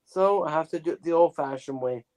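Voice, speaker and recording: A man's voice: on the high side, a little fast, a little mellow. A man chatting casually and with good humor over a video call. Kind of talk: casual chat.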